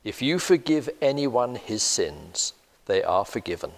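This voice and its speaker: An older man giving a steady, deliberate Bible reading in a church. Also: medium pitch. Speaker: scripture reader. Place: church, indoors, seated at a lectern. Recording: long church reverb.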